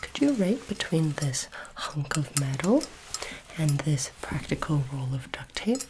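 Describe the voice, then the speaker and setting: Casting: woman playing polite alien examiner of human items, low soft whisper, formal and curious, ASMR roleplay, faint background noise. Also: big pitch swings. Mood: formal, approving. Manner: slow, deliberate, formal phrasing.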